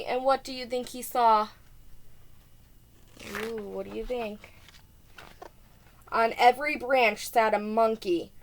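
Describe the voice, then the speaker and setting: Female preschool teacher, storybook read-aloud, slightly high register, very slow deliberate pacing, expressive emphasis. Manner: expressive, deliberate, stressing key words.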